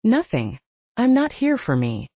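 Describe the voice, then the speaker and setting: Woman reading as composed, mellow male leader. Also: on the high side, wildly swooping pitch, a little slow, slightly rough, no echo, highs cut off, pitched above the performer's own voice, full character voice. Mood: matter-of-fact. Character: composed, authoritative male leader of supernatural butchers.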